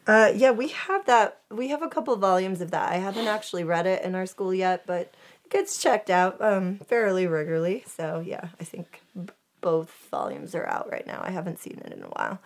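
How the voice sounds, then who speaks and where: A woman co-hosting a podcast, her voice raspy and hoarse from a cold, chatting with lots of ums and mm-hmms. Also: lowish voice, sing-song, fairly quick. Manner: chatty, frequent "mm-hmm" and "um". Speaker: podcast co-host, school librarian.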